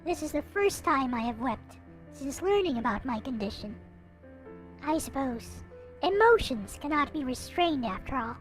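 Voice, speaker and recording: Female narrator, high and animated voice, dramatic visual novel read-aloud with expressive delivery, music underneath. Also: normal speed. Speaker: video creator reading a visual novel aloud. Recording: music playing behind the voice. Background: visual novel soundtrack music.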